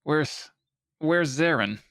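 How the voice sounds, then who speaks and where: An actor playing a shaken, injured doctor in an audio drama, with anxious, urgent, demanding questions. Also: male voice, a little high, lively intonation, slow, a bit bright. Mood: alarmed, confused.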